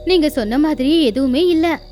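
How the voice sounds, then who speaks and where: A woman's voice: sits high, normal speed, mellow, faint music behind, close to an everyday voice. A woman voicing a scheming, ambitious female goat in a cartoon fable.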